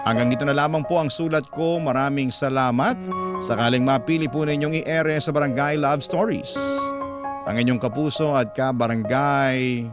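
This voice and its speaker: An actor playing a heartbroken young man, narrating his letter in a Filipino radio drama with measured sorrow over loud music. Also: mid-range voice, natural voice. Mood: sorrowful.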